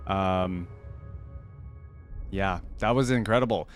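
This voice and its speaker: A man's voice: low-pitched, takes it slowly, soft background music. A man hosting a reaction video, giving casual, informal commentary like an enthusiastic fan.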